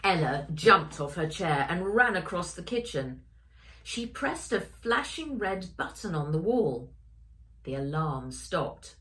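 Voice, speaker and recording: A woman's voice: on the low side, very animated, takes it slowly, a bit bright. A woman narrating her own children's story expressively, speaking directly to young listeners. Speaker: author reading own story.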